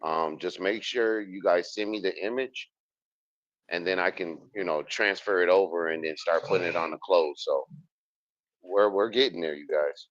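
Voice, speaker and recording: Very deep hesitant man on a dull line. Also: moderate pace, no echo. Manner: hesitant, lots of ums. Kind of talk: casual live audio chat. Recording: dull dry sound.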